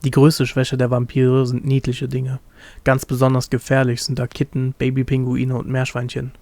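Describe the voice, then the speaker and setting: A man's voice: mid-range voice, completely flat delivery, moderate pace, a little mellow, dry recording. Man narrating a story, dry sound. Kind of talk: scripted narration of a comic story.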